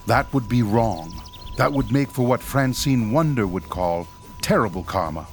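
A man's voice: medium pitch, lively intonation, unhurried, soft background music. An actor playing a principled ghost hunter in his fifties, formal, professorial and a little patronizing, in a horror radio drama. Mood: solemn, firm. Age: 50s.

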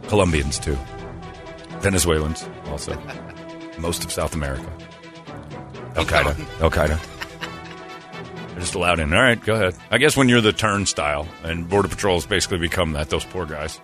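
A man's voice: sits low, moderate pace, pauses often, music in the background. A man hosting a radio show with sarcastic, casual comedic banter.

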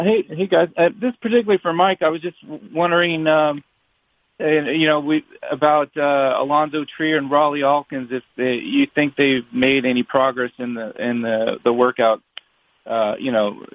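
A dry, slightly muffled phone connection with a man at medium pitch. He is a reporter casually putting questions, feeling his way through them in loose, rambling phrases. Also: no room sound. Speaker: newspaper reporter asking questions. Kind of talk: casual press call questioning. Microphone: telephone. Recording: dull, dry phone conference line.

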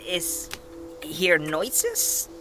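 An actress playing a terse, guarded outsider from an isolated people in a fantasy audio drama, speaking in halting, clipped phrases. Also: female voice, on the low side, wildly swooping pitch, sharp tone, faint music behind, heavily acted. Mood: puzzled, halting. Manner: halting, clipped sentences with pauses and murmurs.

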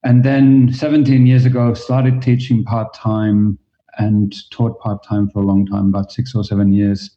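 A man in his forties from Finland with a Finnish accent, speaking as a guest on an interview podcast in a thoughtful, measured way. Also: on the low side, little pitch movement, lo-fi sound. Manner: thoughtful, measured, with occasional restarts. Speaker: guest, fashion educator.

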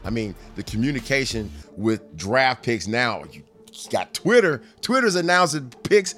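A man's voice: mid-range voice, sing-song, unhurried, a bit bright, faint music behind. A man hosting a podcast, telling sports stories in a casual, rambling, conversational way with amused asides. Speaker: podcast host.

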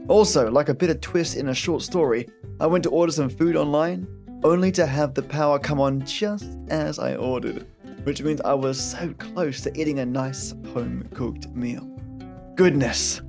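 Fairly high-voiced man, wry podcast intro. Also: normal speed, vocal fry, darkish tone. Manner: wry, friendly to listeners. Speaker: podcast host. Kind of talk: chatty scripted introduction. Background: plucked guitar music.

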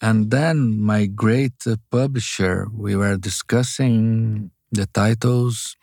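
An older man with a Brazilian accent, a guest author speaking haltingly and searching for words in an interview. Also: on the low side, steady tone, creaky, darkish tone, no room sound, clean studio sound. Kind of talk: interview conversation.

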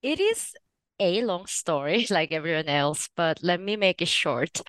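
Thoughtful Korean-raised woman, low and dry. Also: animated, a little slow, a bit bright, clean tone, dry recording. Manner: thoughtful storytelling with light laughs. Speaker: guest author and research professor. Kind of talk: podcast interview.

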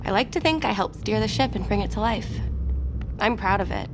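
An actress playing a guarded, weary scientist in an audio drama, with dry, clipped delivery. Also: female voice, medium pitch, animated, brisk, noisy background, natural voice. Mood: composed, weary. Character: guarded, weary woman scientist at a secret tech compound. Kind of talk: naturalistic audio drama acting. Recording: cinematic music bed behind dialogue.

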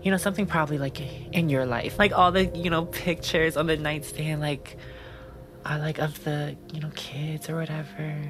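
An actress playing a bold young woman who describes a fantasy in a breathy, unfiltered, swearing way, in an audio drama. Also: low-pitched, steady tone, moderate pace, music in the background, natural voice. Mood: dreamy.

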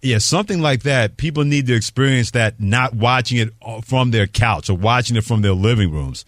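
A man's voice: mid-range voice, moderate pace, no room sound, clean studio sound. A man in his fifties or older from New York City, hosting live sports talk radio and chatting with a co-host and callers. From New York City, USA.

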